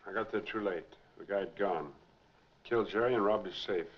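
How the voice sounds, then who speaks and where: A man's voice: fairly low pitch, fairly quick, a little hiss or hum, natural voice. A man acting in a 1940s film noir, playing a weary, dogged man on the run. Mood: defeated, weary. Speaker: lead actor in a mystery film. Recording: muffled 1940s film soundtrack, music behind.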